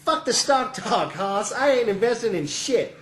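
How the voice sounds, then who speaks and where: Man putting on a loud, high-pitched cocky younger brother. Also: animated, moderate pace, echoey room, a little hiss or hum. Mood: scornful, bossy. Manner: profane, swaggering, emphatic street-slang delivery. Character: brash, foul-mouthed, cocky younger brother, short and stocky.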